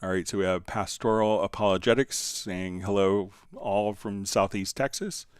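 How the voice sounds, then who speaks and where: Male livestream instructor, lowish clean voice, conversational step-by-step delivery, close dry sound. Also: fairly even intonation, moderate pace, clean tone, no room sound. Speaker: livestream host and software instructor. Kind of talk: casual live tutorial, answering viewer questions.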